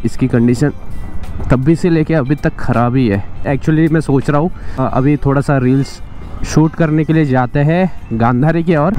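A man's voice: mid-range voice, a little mellow, slight background noise. A young man chatting casually to viewers as a motovlogger, outdoors on a road. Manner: chatty, addresses viewers as guys and brother. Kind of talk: casual vlog chat.

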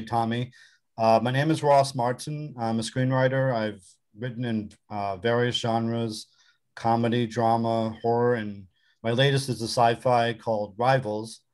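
A man, a screenwriter, introducing himself and his credits on a video call. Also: medium pitch. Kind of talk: self-introduction pitch.

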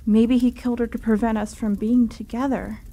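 A woman's voice: fairly high pitch, flat delivery, normal speed, warm tone. An actress playing a determined, grieving young woman seeking truth in an audio drama. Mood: pleading resolve. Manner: earnest, insistent.

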